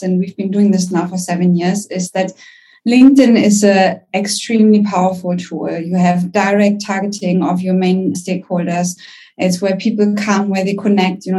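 A woman's voice: mid-range voice, dark tone, poor audio. A young woman with a German accent, a company founder speaking confidently as a guest on a business podcast. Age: young adult.

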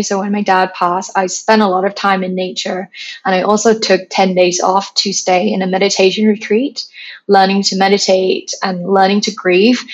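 Chatty woman from Thailand, slightly muffled. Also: mid-range voice. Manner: chatty, frequent "like", animated retelling. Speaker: founder, interview guest. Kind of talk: casual interview conversation. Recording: slightly dull lo-fi sound.

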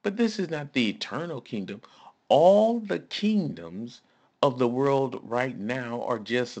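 A male pastor delivers a relaxed, conversational sermon, taking his time over every phrase. His voice has a gravelly rasp, and he leans on emphatic, folksy asides and rhetorical questions. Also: mid-range voice.

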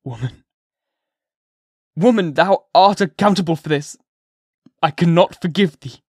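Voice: mid-range voice, measured pace, darkish tone, slightly rough, no room sound, studio quality, pitched above the performer's own voice. A reader voicing a tormented guilt-ridden minister. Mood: anguished. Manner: grieving, archaic speech. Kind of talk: audiobook character voice.

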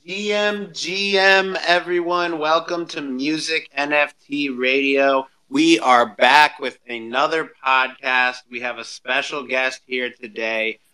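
A man's voice: medium pitch, sharp tone, clean tone, low-quality recording. A man hosting a podcast, introducing and interviewing in an upbeat presenter style. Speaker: podcast host.

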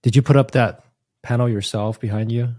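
A man's voice: medium pitch, steady tone, brisk, darkish tone, no echo, studio quality. A middle-aged man from Taiwan hosting a casual conversational podcast interview.

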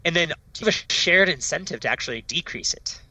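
A man's voice: on the high side, lively intonation, pushed and bright, no room sound, poor audio. A man, a startup founder, talking eagerly in a casual interview, often tagging "right?" onto his sentences. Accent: non-Dutch foreign accent. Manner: enthusiastic, fast, peppered with "right?" and "like".

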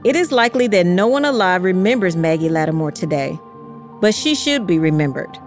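A woman reading scripted history narration in a measured, carefully articulated storytelling way. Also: fairly low pitch, animated, faint music behind. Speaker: podcast narrator.

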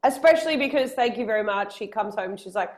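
A woman in her thirties co-hosting a podcast over a headset, telling animated stories about family life. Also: mid-range voice, quick pace. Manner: animated storytelling with acted-out quotes. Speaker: podcast co-host. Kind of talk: casual chat. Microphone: headset earphones.

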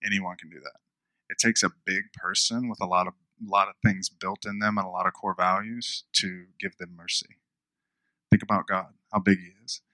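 A man preaching a conversational sermon, repeating phrases for emphasis, with a smooth radio-style voice. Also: sits low, level tone, moderate pace, sharp tone, no room sound, low-quality recording. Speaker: pastor.

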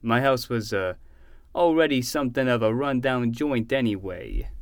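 Podcast drama uncle: caring, exasperated homeowner, lively swings in pitch, very dry close recording male actor. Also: moderate pace, dry recording, natural voice. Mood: reassuring, rueful. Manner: soothing, plain-spoken, protective. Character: protective, gentle uncle, exasperated but reassuring homeowner. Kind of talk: fiction podcast acting.

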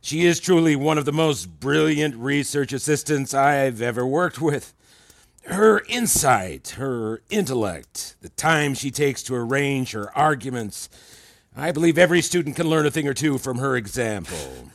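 A gracious older-style academic mentor in an audio drama, formal wording, mid pitch, very dry recording. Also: male voice, expressive, unhurried, no echo. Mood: admiring, measured. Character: courteous, admiring male professor and research mentor. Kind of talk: fiction podcast acting.

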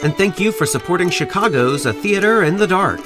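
A man's voice: high voice, animated, a little fast, music in the background. A man speaking as a director and host, giving credits and conversational interview talk.

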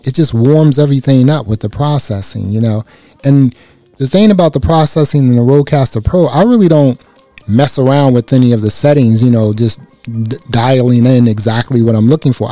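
A man with a slightly raspy voice reviewing a microphone in a casual, chatty way, speaking close into a dynamic mic. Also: mid-range voice, no echo. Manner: relaxed, chatty, many "you know" fillers. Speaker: gear reviewer. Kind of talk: casual product review to camera. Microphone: close dynamic microphone through a processor.

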